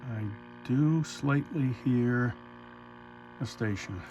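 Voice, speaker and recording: Slow-talking man over a noticeable hum. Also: medium pitch. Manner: muttering short observations, thinking aloud. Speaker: hobbyist radio restorer. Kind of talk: casual running commentary while working. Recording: noticeable electrical hum and noise.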